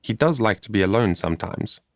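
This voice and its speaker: A man's voice: lowish voice, animated, brisk, dry recording, lightly acted. Guy doing a grumpy servant girl from an old storybook, brusque and grudging, dry close recording. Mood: peevish. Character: peevish, dismissive young servant girl. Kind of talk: storybook character voice in audiobook.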